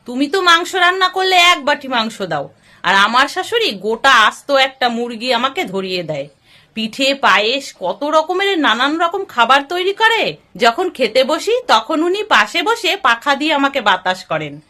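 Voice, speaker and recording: A woman plays a naive, gushing young husband in a storybook tale. The voice is high and bright, with lively swings in pitch. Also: moderate pace, full character voice. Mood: boastful. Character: boastful naive young married son and son-in-law.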